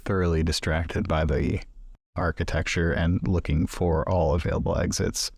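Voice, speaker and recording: A man's voice: deep voice, little pitch movement, normal speed, a little mellow, dry recording, studio quality. A man chatting casually as a player in a tabletop roleplaying game.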